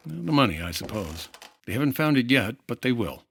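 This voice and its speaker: A man playing a dry, world-weary hardboiled insurance investigator in the manner of 1950s radio drama, deadpan and wry. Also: mid-range voice, fairly quick, vocal fry, slight background noise. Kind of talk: 1950s radio detective acting.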